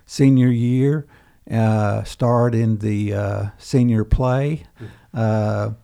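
An older history professor, interviewed as a guest, telling a story unhurriedly with many pauses and fillers. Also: male voice, medium pitch, vocal fry, dark tone.

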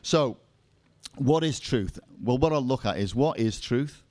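A man's voice: medium pitch, animated, a little slow, darkish tone. Joking Liverpool-accented man giving a talk. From England. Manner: self-deprecating, joking asides. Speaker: seminar speaker. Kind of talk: conference talk on faith.

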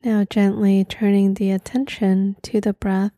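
A woman guiding a meditation in a soft, sometimes breathy voice, gentle and soothing, with long pauses. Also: mid-range voice, flat delivery, dry recording. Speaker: meditation guide. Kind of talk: guided meditation.

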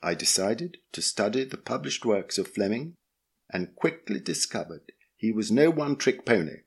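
A man's voice: fairly low pitch, very animated, moderate pace, husky. A man with a British accent hosting a podcast and reading a scripted essay aloud. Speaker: podcast host and reader.

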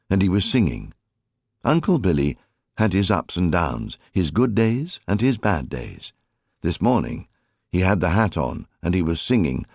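A man narrates a story in a very deep voice. His intonation rises and falls in a lively way, with measured, expressive phrasing. The recording is dry and close. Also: no echo. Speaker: audiobook narrator.

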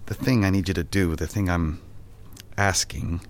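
An actor playing a breezy, blunt office boss in a satirical audio drama, with a casual and halting way of talking. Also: male voice, sits low. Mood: awkward.

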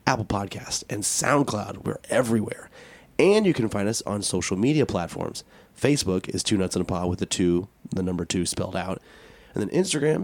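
An American man co-hosting a talk podcast in casual chat, joking and self-deprecating, with a raspy voice from a cold. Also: on the low side, a little mellow, no echo. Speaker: podcast and radio show co-host.

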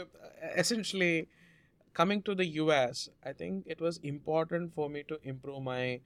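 A man from India, a Malayalam speaker, telling a story as an interview guest in an earnest, measured way. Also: mid-range voice, clean tone. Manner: measured, earnest, drawn-out phrasing with restarts.

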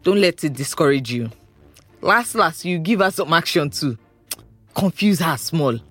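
A bossy, teasing young friend in an audio drama, a woman with a Nigerian accent. Her voice sits slightly low and moves around freely in pitch. Soft music runs underneath. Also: lively intonation, a little slow, full character voice. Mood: teasing, insistent. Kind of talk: naturalistic audio drama acting.

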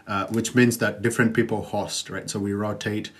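A man's voice: on the low side, little pitch movement, moderate pace. A man, a podcast guest who speaks thoughtfully and hesitantly, with many fillers and "right?" tags. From Uganda. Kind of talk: conversational podcast interview.

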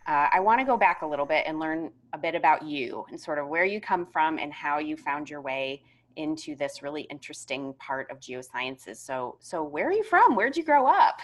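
A woman's voice: sits low, sing-song, moderate pace. A woman hosting a podcast, asking questions in an effusive, enthusiastic way. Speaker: podcast host. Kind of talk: casual interview talk.

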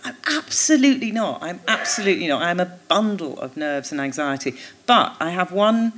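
Low-voiced woman, self-mocking and witty. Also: expressive, a bit bright, slight background noise. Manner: self-mocking, quick wit, laughs often. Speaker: guest. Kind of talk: casual chat on a live podcast. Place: theatre stage.

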